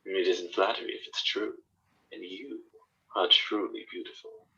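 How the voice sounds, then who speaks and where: A man playing a flirtatious gentleman captain in a radio drama, courtly and smooth-talking, on a dull, distant microphone. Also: low-pitched, normal speed, heavily acted.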